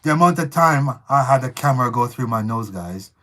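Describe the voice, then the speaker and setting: A man whose voice has gone hoarse and strained since surgery left one vocal cord not working, chatting casually on a live stream and telling stories to viewers. Talking hurts him. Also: medium pitch, fairly quick. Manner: relaxed storytelling, addresses viewers as guys. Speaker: co-host of family channel.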